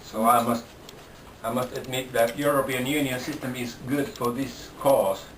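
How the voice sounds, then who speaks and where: A man from Finland with a Finnish accent, talking slowly in an interview with many restarts. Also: medium pitch, steady tone, a bit bright, a little hiss or hum. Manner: slow, halting, with repeated restarts. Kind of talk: casual interview talk. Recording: roomy sound from a distant mic.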